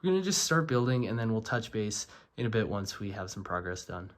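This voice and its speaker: A young man with a stuffed-up, congested voice, casually narrating his LEGO building progress in a relaxed, rambling way. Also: lowish voice, brisk. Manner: relaxed and rambling, frequent "obviously" and "uh". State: congested, stuffed-up nose from a cold. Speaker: LEGO builder and channel host.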